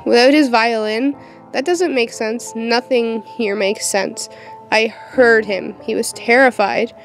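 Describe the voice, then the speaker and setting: An actress playing a defiant, sharp-tongued teenage witch in an audio horror drama, intense and dramatic. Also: on the high side, steady tone, takes it slowly, soft background music. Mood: troubled, questioning.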